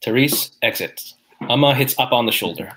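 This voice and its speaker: A man reads the stage directions at a table read, plain and even in a middle-pitched voice. He comes through a video call. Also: a little fast, a little hiss or hum, poor audio.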